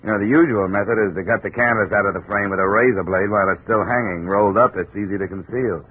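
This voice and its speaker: An American actor playing a dry, world-weary insurance investigator in a 1950s radio detective drama. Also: male voice, fairly low pitch, quick pace, close to an everyday voice. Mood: dry, wry. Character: wry, hard-boiled male insurance investigator narrating his case. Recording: dull, hissy old radio recording.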